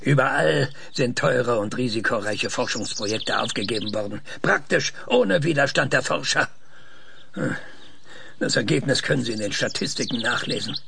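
An actor playing an opinionated, irritable scientist in a radio drama, with a husky rasp and an emphatic, halting, lecturing manner. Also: male voice, medium pitch, animated, noisy background. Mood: scornful, agitated. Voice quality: husky, breathy rasp with creak. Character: opinionated, irritable male research scientist being interviewed. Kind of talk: naturalistic radio drama acting.

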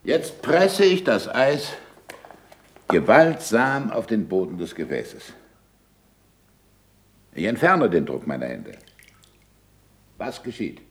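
An actor playing a shrewd, commanding scientist and teacher in a German radio play, ironic and didactic. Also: male voice, fairly low pitch, big pitch swings, slow pace, pauses often, full character voice. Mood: probing, didactic. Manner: didactic, probing questions, sardonic. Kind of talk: German stage-style radio play acting.

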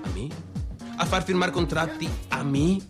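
A male actor plays a cocky provincial businessman's son with a fairly high voice and a Veneto accent from northeastern Italy. He rambles excitably in colloquial, repetitive phrases, as in a radio theatre monologue, with music behind him. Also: moderate pace, natural voice. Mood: resentful. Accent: northeastern Italian (Veneto). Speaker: stage actor performing a monologue.

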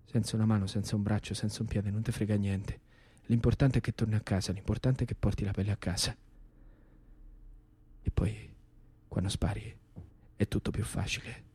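An actor with a central Italian, Roman accent playing a haunted, fervent ex-partisan in a radio theatre monologue, telling it colloquially and with insistent repetition. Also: male voice, lowish voice, steady tone, moderate pace, long pauses, warm tone, husky, dry recording. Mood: tense, hushed. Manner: dramatic storytelling with colloquial dialect and insistent repetition. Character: Italian ex-partisan man recounting war memories and dreams, haunted, fervent. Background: plucked guitar music.

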